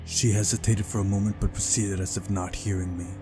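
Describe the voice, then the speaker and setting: A man's voice: deep voice, steady tone, a little fast, music in the background. A man reading a story aloud as dramatic narration, as in a visual novel playthrough.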